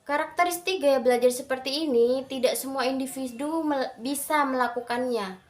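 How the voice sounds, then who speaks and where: A young adult woman giving a prepared student presentation in careful, halting phrases. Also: high voice, a little mellow.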